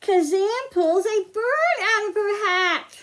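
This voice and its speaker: High-pitched, slow-paced woman teaching young children. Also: animated, a bit bright. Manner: simple explanatory phrasing with questions to young listeners. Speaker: children's library science storytime presenter. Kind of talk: storytime read-aloud and hands-on lesson for young children.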